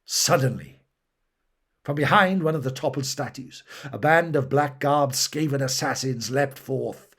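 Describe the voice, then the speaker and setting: Male storyteller, middle pitch, husky edge, for emphatic, dramatic fantasy narration. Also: a little slow, a bit bright. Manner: theatrical, emphatic delivery. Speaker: audiobook reader.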